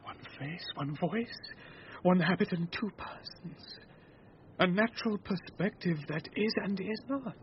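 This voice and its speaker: A man's voice: high voice, a little slow. An actor playing a proud, lovesick nobleman in a lofty, declamatory Shakespearean radio style. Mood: hushed wonder. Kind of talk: classical Shakespearean radio acting. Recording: slightly muffled radio recording, faint noise.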